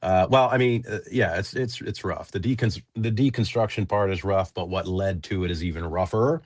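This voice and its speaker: A low-voiced man speaks as an interview guest, sniffly from a lingering cold. He talks earnestly and haltingly, with lots of fillers, and the sound is dry and close. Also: no room sound. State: getting over a cold, sniffly. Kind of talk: conversational interview, personal story.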